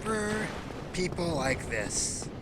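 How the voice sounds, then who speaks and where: A man's voice: sits high, very animated, very slow, heavy background noise. A man giving casual, unscripted let's play commentary in an offhand, dryly sarcastic way. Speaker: gameplay commentator. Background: loud game music and sound.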